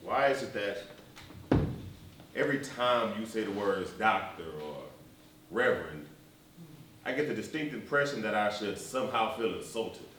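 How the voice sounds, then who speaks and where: An actor playing a dignified civil rights preacher in a stage play, with formal, deliberate speech. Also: male voice, on the low side, lively intonation, natural voice. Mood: composed, pointed. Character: dignified, measured preacher and civil rights leader, adult man. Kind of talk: one-act stage play dialogue. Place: live theatre performance, recorded from far off. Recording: distant, echoey, noisy stage hall.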